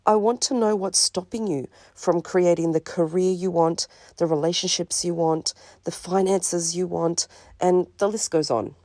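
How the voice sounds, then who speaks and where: A woman with a slight cold, calmly presenting her spiritual counselling service in a measured, scripted talk. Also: on the low side, no echo, clean studio sound. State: a little sniffly from a cold.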